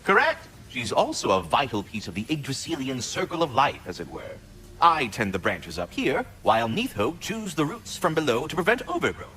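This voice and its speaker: A man's voice: mid-range voice, wildly swooping pitch, moderate pace, bright, forceful tone. A man voicing a fussy, self-important magical squirrel in a video game, eager to serve and tutor, with flowery, theatrical enunciation. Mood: delighted, tutoring. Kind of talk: video game character acting.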